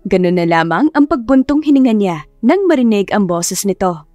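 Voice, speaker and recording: A woman's voice: medium pitch, very animated, moderate pace, dark tone, no room sound, highs cut off, soft background music. A woman narrating a scripted romance audiobook story, close to the microphone.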